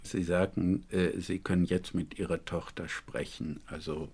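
A man conducting a documentary radio interview, putting his questions attentively and deliberately in careful, measured pieces. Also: sits low, steady tone, darkish tone, husky.

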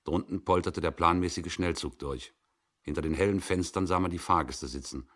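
A man giving a measured, suspenseful dramatic reading of a story in a 1960s radio production. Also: low-pitched, fairly bright tone.

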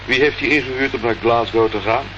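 An actor playing a suspicious police interrogator in a radio play, putting brisk, pointed questions. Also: male voice, mid-range voice, fairly even intonation, lightly acted. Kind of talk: Dutch radio play acting. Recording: muffled, noisy old radio recording.

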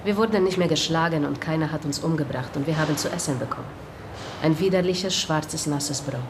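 A woman's voice: deep voice, fairly quick, close to an everyday voice. An actress playing a pushy married woman pleading with officials, urgent and formally polite yet insistent, in a German radio play. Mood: bitter. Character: pushy, pleading married female petitioner with documents. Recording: studio radio play with clear background noise.

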